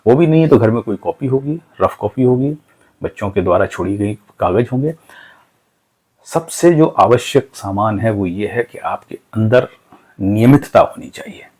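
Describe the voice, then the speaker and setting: A man with a fairly low, slightly husky voice gives an informal lesson. He speaks earnestly, like a mentor, building his advice through examples and rhetorical questions. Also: expressive, normal speed, a little mellow. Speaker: cartooning teacher and channel host. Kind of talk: informal motivational lesson talk.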